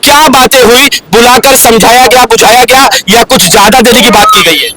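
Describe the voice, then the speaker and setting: A man's voice: extremely high pitch, a loud crowd. A man reporter questioning people on the street, probing and pressing. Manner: probing, quick back-channel "haan". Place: street outdoors. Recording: loud background noise outdoors.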